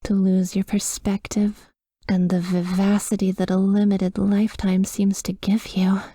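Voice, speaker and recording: A woman performs a devoted female vampire, wistful and loving, in an intimate ASMR roleplay. Her whisper is breathy and slow, with little rise and fall in pitch. She sounds tender and hushed throughout. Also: completely flat delivery, no room sound, studio quality. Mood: loving, melancholy.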